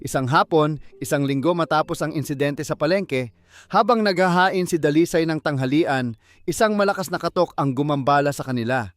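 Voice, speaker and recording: Male audiobook narrator, slightly high pitch, dramatic scripted delivery, faint music underneath. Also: brisk, dry recording, clean studio sound.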